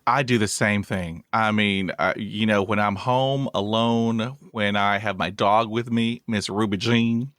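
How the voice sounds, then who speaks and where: A low-voiced man hosting a podcast with lively enthusiasm. He talks in a casual, friendly way, now and then blocking or repeating a sound. The recording is dry and close, with no room sound. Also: a little slow, hardly any pauses, no room sound. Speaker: podcast host. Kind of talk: casual interview chat.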